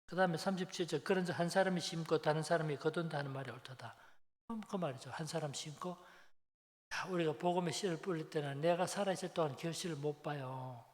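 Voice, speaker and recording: A man in his seventies delivers a sermon with a Busan Gyeongsang accent, his voice a little higher than most men's. He tells stories colloquially, puts questions to his listeners and repeats key phrases. Long hall reverb surrounds his speech. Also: fast. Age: 70s. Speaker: preacher. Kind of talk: Sunday afternoon sermon, conversational storytelling.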